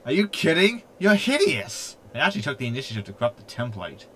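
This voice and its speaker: Rebellious male hologram, acted by a man, cheeky, insulting, lively swinging pitch, faint hiss, sci-fi audio comedy. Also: fast. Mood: mocking. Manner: exclamatory, mocking. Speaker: voice actor in audio drama. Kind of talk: sci-fi sitcom audio drama acting.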